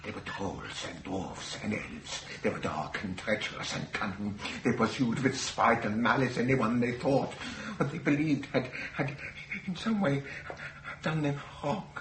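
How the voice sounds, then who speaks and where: A man playing a nervous, flustered professor in a ghost story drama, halting, apologetic and repeating himself. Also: mid-range voice, roomy sound, background noise, natural voice. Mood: agitated. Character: nervous, flustered Norwegian male professor of Norse mythology.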